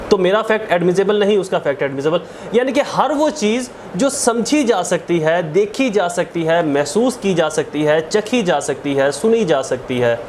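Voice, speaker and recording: A man's voice: high voice, a little mellow, slight background noise. A man with a Pakistani accent giving an explanatory law lecture, didactic, listing points and repeating key phrases. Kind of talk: explanatory lecture mixing Urdu with English legal terms.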